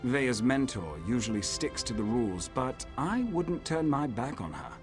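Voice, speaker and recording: An actor playing a cocky, sardonic assassin in a fantasy video game, talking in a wry, casual, sly way. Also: male voice, medium pitch, big pitch swings, moderate pace, over music, close to an everyday voice. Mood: wry, matter-of-fact. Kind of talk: video game character acting.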